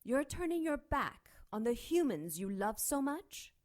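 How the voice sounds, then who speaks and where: A woman playing a seductive, menacing dark angel in an audio drama, with theatrical, purring, teasing delivery. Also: high-pitched, big pitch swings, measured pace. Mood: sly, menacing.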